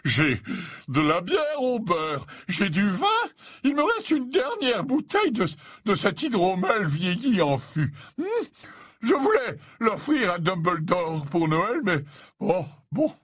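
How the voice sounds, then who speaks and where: A man voicing a jovial, fussy older potions professor in an audiobook, flattering, stammering and repeating himself. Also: high-pitched, slow, husky, no room sound, clean studio sound, pitched above the performer's own voice, full character voice. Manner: stammering, repeats himself, tacks on questioning tags.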